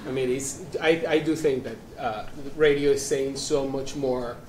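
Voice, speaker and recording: A man's voice: mid-range voice, steady tone, a little slow, a little mellow. A man hosting a live panel discussion at a museum, introducing guests in a chatty, rambling way with many restarts. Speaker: panel moderator. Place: event room at a museum. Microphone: live stream. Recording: roomy sound from a distance with faint noise.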